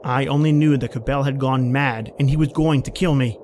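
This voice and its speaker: A man's voice: mid-range voice, steady tone, brisk, a little mellow, slight background noise, natural voice. A man playing a haunted, panicky man stranded in polar cold, telling his ordeal in an old-time radio horror style. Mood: tense, fearful. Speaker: podcast host and actor.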